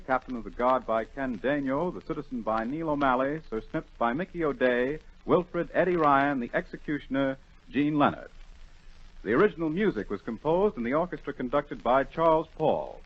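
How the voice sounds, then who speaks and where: An American man reading a scripted announcement in formal, measured 1940s radio style. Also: mid-range voice, expressive. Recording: dull, lo-fi 1940 radio broadcast.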